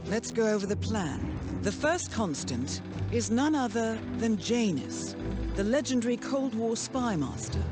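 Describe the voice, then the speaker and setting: An actress playing a composed, skeptical intelligence handler in a video game, with a formal, pointed delivery. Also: female voice, mid-range voice, close to an everyday voice. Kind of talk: cinematic video game acting. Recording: loud music behind the voice.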